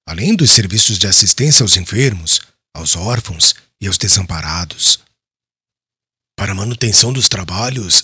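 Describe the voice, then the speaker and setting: A devout, compassionate apostle played by a man speaks in an audiobook drama. The voice is very raspy, bright and forceful, recorded close and dry. He is solemn and earnest, a leader of his church. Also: on the low side, dry recording, full character voice. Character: Simon Peter, devout, compassionate male apostle leading a church.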